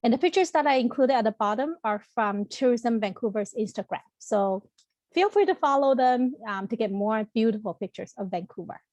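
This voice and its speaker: A woman's voice: on the high side, lively intonation, normal speed, a little mellow, no room sound. Woman presenting on a video call. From Taiwan. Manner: friendly, explanatory, with frequent ums and restarts. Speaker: college representative presenting to students. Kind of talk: online information presentation. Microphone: computer mic on a video call.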